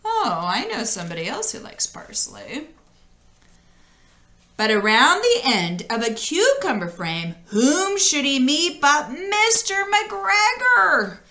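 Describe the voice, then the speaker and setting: Bright-voiced woman reading a children's storybook. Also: sits high, big pitch swings. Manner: deliberate phrasing with dramatic emphasis. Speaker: storybook reader. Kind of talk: expressive children's read-aloud. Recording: echoey, roomy sound.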